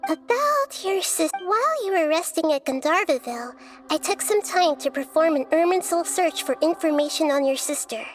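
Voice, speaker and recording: A gentle, wise young goddess. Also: female voice, extremely high pitch, music in the background. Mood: calm, explaining. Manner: calm, measured, explanatory. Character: young-seeming female goddess of wisdom, gentle and thoughtful. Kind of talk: game cutscene voice acting.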